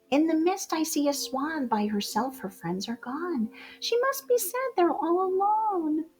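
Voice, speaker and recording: Lady reading kids rhyming poems, super expressive, slow pace, whispery bits then big loud bits, music behind her. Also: high voice. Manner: theatrical, shifting from hushed whispers to loud exclamations. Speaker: children's storybook reader. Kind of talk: animated read-aloud of rhyming picture book poems.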